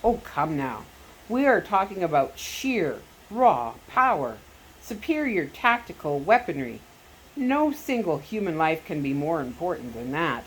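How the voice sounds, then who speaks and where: A woman's voice: low-pitched, sing-song, unhurried. Lady reading as a sly soviet villain guy, taunting and condescending, noisy home recording. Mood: mocking. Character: menacing, crafty male Soviet agent.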